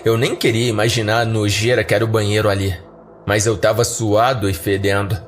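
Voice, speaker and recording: A Brazilian man narrates a horror podcast story in the first person. His voice is on the low side and the reading is scripted, with music clearly playing behind it.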